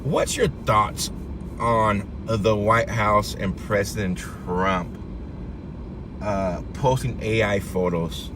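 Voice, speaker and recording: A man chatting casually with livestream viewers as host, informal and thinking aloud in an unhurried way. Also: lowish voice. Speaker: livestream host, citizen reporter. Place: in a vehicle out on the road. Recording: clear steady car and outdoor noise.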